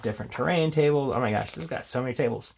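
A man giving a casual, unscripted walkthrough as a tabletop game reviewer, talking in a rambling, halting way with casual enthusiasm. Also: medium pitch, vocal fry. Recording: steady background noise, handling clicks.

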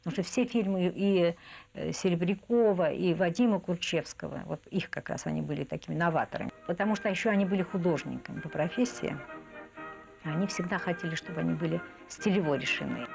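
A woman's voice: on the low side, fairly quick, vocal fry, a little mellow. A woman in her fifties reminiscing in a documentary interview, emphatic and repeating words for stress. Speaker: animation professional interviewed. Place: indoor room, seated at table. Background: music.

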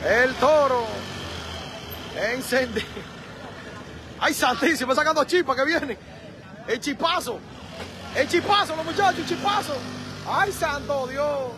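A man with a Dominican accent giving excitable live street commentary, full of exclamations, recorded on a phone outdoors. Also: very high voice, measured pace, long pauses. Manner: excitable, frequent exclamations and laughter. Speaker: livestream street reporter. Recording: noisy street crowd livestream. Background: crowd and traffic noise.

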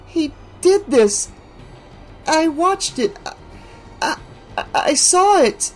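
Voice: mid-range voice, expressive, slow, darkish tone, soft background music, pitched above the performer's own voice. A reader voicing a frightened teenage girl in an audiobook, tremulous and pleading. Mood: shaken. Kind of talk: audiobook character voice.

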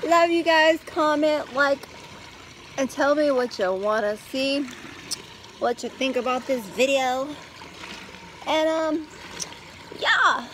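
A woman's voice: sits high, slow, long pauses, fairly bright tone, a little hiss or hum, low-quality recording. A woman in her thirties rambling casually to her phone camera outdoors by a backyard pool, full of fillers. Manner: rambling and chatty, with many fillers. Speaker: vlogger. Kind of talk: casual vlog chat. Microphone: phone held at arm's length.

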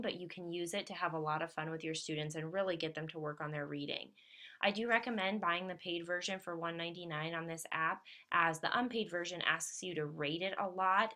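A woman with a bright, clear-cut voice set a little low talks through an app demonstration. She sounds like a teacher giving a casual, practical tutorial. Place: voice-over for a tablet screen recording.

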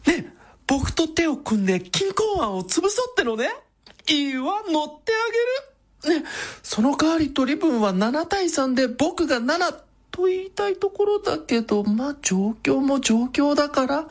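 Effeminate scheming villain, high voice, wildly swooping intonation, slippery wheedler, theatrical radio drama. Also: male voice, sing-song, measured pace, heavily acted. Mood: smug, scheming. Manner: campy, theatrical, exaggerated. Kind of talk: Japanese radio drama acting.

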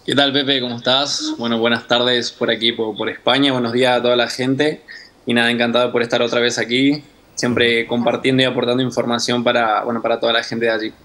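A young Argentine man speaks casually as a guest over a video call. His voice sits at a middle pitch and moves very little, giving an even, flat delivery. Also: a little fast. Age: young adult. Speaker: interview guest. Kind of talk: casual greeting in an interview. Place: indoor room. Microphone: video call, wearing headset.

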